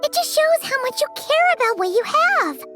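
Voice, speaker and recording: A woman's voice: extremely high pitch, big pitch swings, moderate pace. A woman voicing a small, childlike game companion who is eager, kind and earnest. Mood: earnest, encouraging. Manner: eager, exclamatory. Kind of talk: video game voice acting. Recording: dry studio voice over music.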